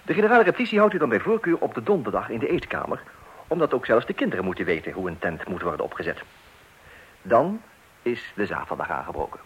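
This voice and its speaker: Fussy dad type, lively up-and-down voice, mock-serious like he's explaining stuff. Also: lowish voice, fast talker, husky, dull audio, full character voice. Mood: instructive. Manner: mock-solemn instructive tone. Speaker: narrator and father. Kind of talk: Dutch radio play acting.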